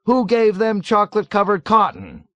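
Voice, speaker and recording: Guy doing a loud fawning colonel, pitch jumping all over, jovial but nervous. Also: big pitch swings, moderate pace, dry recording, highs cut off. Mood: gushing. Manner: loud, gushing, eager to please.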